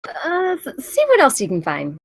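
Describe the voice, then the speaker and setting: A woman's voice: high-pitched, huge pitch swings, normal speed, no echo, full character voice. A woman reading the part of a playful, curious yet guarded wife in a film script, casual and teasing. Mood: doubtful. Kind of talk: film script table read, naturalistic dialogue.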